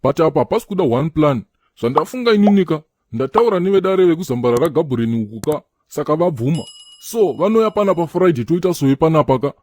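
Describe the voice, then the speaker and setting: Man playing a quick, exasperated cartoon schemer. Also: fairly high pitch, animated, darkish tone, no room sound. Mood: insistent. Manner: argumentative, insistent. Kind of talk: comedy cartoon voice acting.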